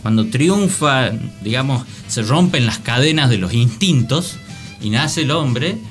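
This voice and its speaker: An Argentine man talks casually as a radio panelist, thinking aloud in a loose, musing way. His voice has an average pitch, with music playing faintly behind it. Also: animated, a little slow. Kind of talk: casual, musing round-table chat. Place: radio studio.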